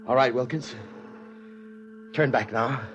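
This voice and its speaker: An actor playing a domineering, ambitious tycoon with a bombastic, commanding manner in a noisy 1950s radio drama. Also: male voice, mid-range voice, slow pace, dull audio, natural voice. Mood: angry. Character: domineering, ambitious male tycoon, brash and grandiose.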